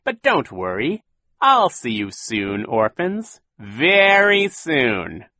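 A man voicing a gloating, menacing villainous count in an audiobook, drawing his words out slowly to taunt. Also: medium pitch, wildly swooping pitch, sharp tone, no echo, pitched above the performer's own voice, heavily acted. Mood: taunting menace. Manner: slow, drawn-out, taunting. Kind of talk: audiobook character voice.

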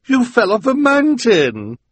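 Man putting on a shrill villainess, very high, loud and drawn-out, husky. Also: wildly swooping pitch, no echo, full character voice. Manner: shrill, astonished outbursts. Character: vain glamorous villainous woman.

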